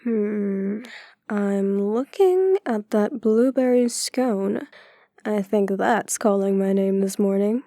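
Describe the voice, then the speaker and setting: A woman acting a guarded, sharp-tongued, hurt ex-girlfriend in an audio drama, with a curt, sardonic manner. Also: medium pitch, slow pace, dry recording, clean studio sound. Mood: dry, wary.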